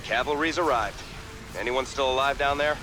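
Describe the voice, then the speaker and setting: Guy as young space captain in a game, crisp military talk, loud music under him. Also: mid-range voice, normal speed, lightly acted. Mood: confident, loyal. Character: earnest, disciplined young male starship captain. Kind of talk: video game cutscene acting.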